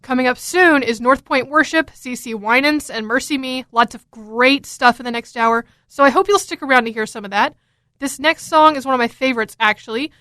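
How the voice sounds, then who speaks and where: A woman's voice: high voice, a little fast, sharp tone, no room sound. A young American woman talking as a radio DJ in an upbeat, casual, chatty and enthusiastic way, close on a studio microphone. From Florida, United States.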